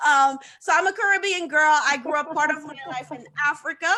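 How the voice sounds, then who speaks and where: A woman's voice: high voice, fairly quick, sharp tone. A woman in her thirties from Haiti, a guest on a video-call talk show, telling her story in an upbeat, chatty way and laughing often. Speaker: guest, social media coach. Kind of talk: casual talk-show interview.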